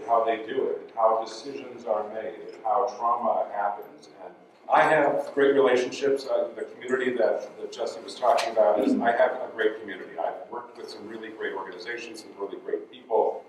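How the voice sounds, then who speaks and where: A man's voice: on the low side, darkish tone, a little hiss or hum. A man giving a conversational presentation to a live audience as a guest speaker. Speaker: guest speaker, executive recruiter. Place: large event room with an audience. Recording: echoey hall, mic from a distance.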